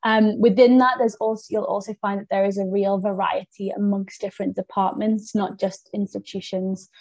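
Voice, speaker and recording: A woman's voice: mid-range voice, level tone, moderate pace, a little mellow, no echo, dull audio. A young British woman from the UK presenting an education webinar over a video call, upbeat and friendly, with frequent fillers. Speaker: webinar host and education advisor.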